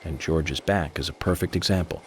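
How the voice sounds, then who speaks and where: Actor as a low-voiced, composed American detective. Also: male voice, a little hiss or hum, lightly acted. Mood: calm, certain. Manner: measured, deliberate, explanatory. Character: composed, confident male FBI agent detective. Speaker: voice actor in a video game. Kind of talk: dramatic game cutscene acting.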